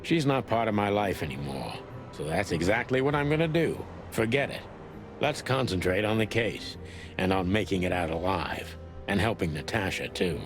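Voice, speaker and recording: A man's voice: on the low side, animated, moderate pace, over music, natural voice. A man playing a weary old rooster detective in a film noir game, terse, brooding and world-weary. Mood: dismissive. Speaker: narrator and lead detective. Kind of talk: hardboiled film noir voice acting.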